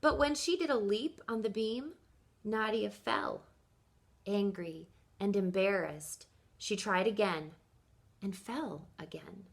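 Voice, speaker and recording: A woman reading a children's picture book aloud like a teacher, with expressive, deliberate storytelling emphasis. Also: mid-range voice, creaky.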